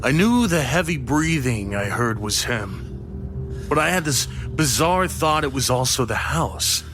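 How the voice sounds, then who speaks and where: A man's voice: mid-range voice, big pitch swings, a little slow. A man narrating a first-person horror story in a dramatic storytelling style. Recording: music behind the voice. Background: prominent background music.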